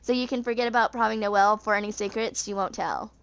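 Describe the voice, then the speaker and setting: A woman's voice: medium pitch, fairly even intonation, fast, fairly bright tone, smooth. A woman in her twenties reading story text aloud in an amateur way, stumbling over words and correcting herself. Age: 20s. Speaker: video creator reading game lore aloud.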